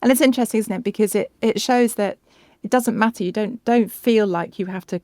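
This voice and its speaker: A woman's voice: mid-range voice, a little fast, a little mellow, dry recording, studio quality. A woman hosting a podcast, chatty and encouraging, who laughs often. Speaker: podcast host. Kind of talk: casual interview chat.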